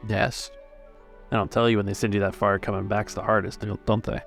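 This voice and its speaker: A man voicing a gruff, plain-spoken old navy veteran in a tabletop game. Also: on the low side, flat delivery, brisk, a bit bright. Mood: gruff, weary. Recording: music behind the voice.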